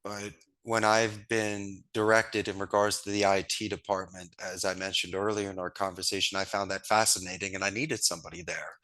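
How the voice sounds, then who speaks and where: Upbeat bright-voiced man. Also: deep voice, little pitch movement, brisk, rough recording. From Northeast Philadelphia, USA. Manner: enthusiastic, storytelling, folksy sayings. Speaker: guest, call center founder. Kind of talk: casual interview talk.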